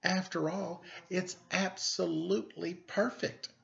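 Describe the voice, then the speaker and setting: A man voicing a doting, wordy storybook father who speaks effusively and deliberately. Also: sits high, steady tone, creaky, muffled recording, voice pushed up, full character voice.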